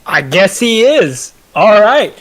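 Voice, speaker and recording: A man's voice: high voice, very animated, slow pace, a bit bright. A man hosting a wrestling promo and boasting as tag team champion, brash and showy as he plays to the crowd. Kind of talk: hyped wrestling promo talk.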